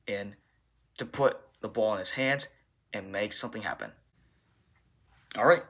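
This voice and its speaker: A man with a fairly low, bright and forceful voice speaks as a football coach fielding press questions. He phrases things deliberately, in short halting bursts. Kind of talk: press conference answers.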